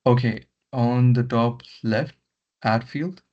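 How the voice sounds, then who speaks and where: A man giving step-by-step software training in an instructional way, often repeating his words, over an online meeting. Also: mid-range voice, fairly even intonation, takes it slowly. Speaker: software trainer leading a session. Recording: muffled lo-fi online meeting audio.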